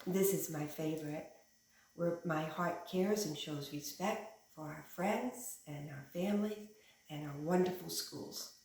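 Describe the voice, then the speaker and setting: A woman reading a picture book aloud like a teacher, with simple, instructive phrasing and expressive stress on key words. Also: low voice, unhurried. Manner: simple, instructive phrasing aimed at young children. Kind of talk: animated children's picture book read-aloud. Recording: echoey room.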